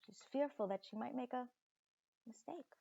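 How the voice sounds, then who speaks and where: A woman reading a children's story aloud expressively, with deliberate dramatic pauses and chatty asides to young listeners, like a teacher. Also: a little high, creaky, warm tone, muffled recording, slight background noise.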